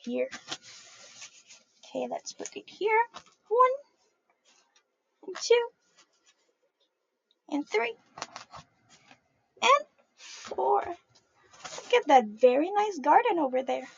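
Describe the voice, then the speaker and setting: A young woman reading a picture book aloud to young children in a sing-song, animated way, calling them her friends. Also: extremely high pitch, very slow, gravelly, pauses often, lo-fi sound. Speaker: early childhood storyteller.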